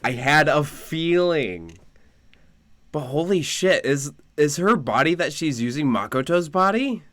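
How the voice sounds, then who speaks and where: A man's voice: on the high side, expressive, unhurried, a bit bright, no room sound. A man streaming a video game, giving casual live commentary and musing aloud. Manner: muses aloud, repeats phrases, casual swearing.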